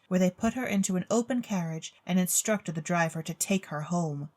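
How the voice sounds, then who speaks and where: A woman narrating a scripted storybook tale in an expressive storytelling manner. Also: lowish voice.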